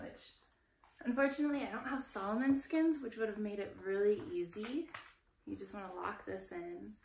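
A young woman talks through her ski gear in a relaxed, friendly how-to, explaining each step as she handles it. Her voice sits at a middle pitch. A faint hiss and the click of equipment sit under her voice. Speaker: outdoor gear vlogger. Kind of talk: casual how-to demonstration.

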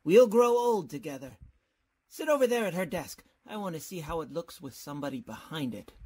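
An actor playing a flustered, put-upon college dean with fussy, sardonic delivery in an old-time radio comedy. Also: male voice, a little high, sing-song, a little fast, crowd noise. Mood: exasperated sarcasm.

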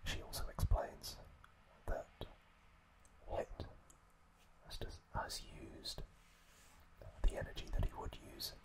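Slow whispering man, ASMR host. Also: poor audio. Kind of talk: whispered ASMR storytelling recap of an anime.

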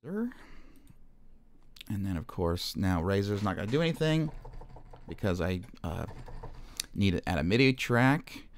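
A man presenting an informal step-by-step music software demonstration, haltingly thinking aloud as he goes. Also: on the low side, big pitch swings. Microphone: microphone recorded straight into screen-capture software.

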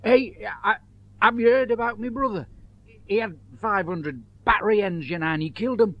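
A man's voice: high-pitched, normal speed, no echo, voice pushed up, close to an everyday voice. A man voicing a cheery, gossipy Geordie in a chatty way. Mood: chatty, amused. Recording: dull, dry home-made tape.

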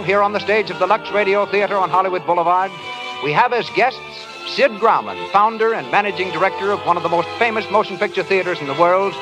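A man's voice: high voice, poor audio. A man announcing formally on a 1930s radio show. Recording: old 1930s radio broadcast with music.

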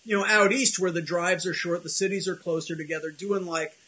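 Middle-aged guy hosting a video call, kinda high voice, rambly and self-deprecating, lots of restarts. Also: fairly even intonation, a little fast, sharp tone. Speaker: host. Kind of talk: casual chat on a video call. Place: home office with bookshelves.

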